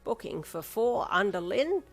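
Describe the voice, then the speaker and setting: A woman's voice: mid-range voice, big pitch swings, unhurried, vocal fry, soft background music, full character voice. Woman putting on a cheeky, warbly old man. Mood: bright, cheeky. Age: older. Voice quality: warbly, wavering. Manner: loud, leering.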